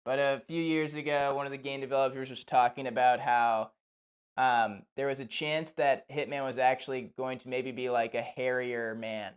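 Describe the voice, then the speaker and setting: Clear-voiced man hosting a casual interview. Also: medium pitch, fairly even intonation, moderate pace. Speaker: podcast host, interviewer.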